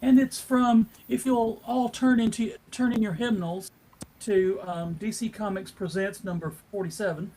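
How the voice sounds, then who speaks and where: A man co-hosting a panel in casual chat, with a halting, self-deprecating way of talking. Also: high voice, fairly even intonation, a little mellow, a little hiss or hum, rough recording. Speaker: co-host, convention track director.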